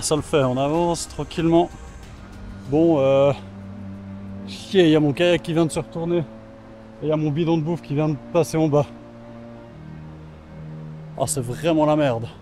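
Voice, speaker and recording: A man vlogging his solo kayak trip, talking casually to the camera outdoors by a river, thinking aloud and swearing now and then. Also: a little high. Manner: relaxed and chatty, thinks aloud, swears casually, many fillers. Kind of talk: casual vlog narration to camera.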